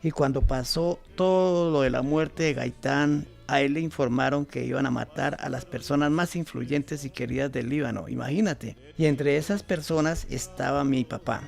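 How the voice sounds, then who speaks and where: Man playing an old grandfather, Colombian accent, creaky voice, radio drama storytelling, faint music behind. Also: medium pitch, normal speed, few pauses, darkish tone, close to an everyday voice. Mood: earnest, reminiscing. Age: over 60. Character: elderly grandfather recalling his childhood amid political violence.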